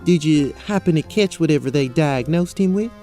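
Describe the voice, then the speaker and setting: An actor playing a dainty, polite Southern belle adventurer with a Southern US accent in a fantasy audio drama. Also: female voice, low-pitched, expressive, moderate pace, dark tone, soft background music. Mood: curious, composed. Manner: genteel, courteous.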